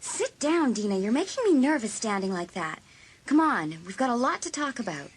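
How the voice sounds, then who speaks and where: A woman playing a vengeful teenage girl in a dramatised audiobook, husky and intense as she schemes in cold blood. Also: on the high side, sing-song, moderate pace. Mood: cold, determined. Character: vengeful teenage girl coldly plotting a murder.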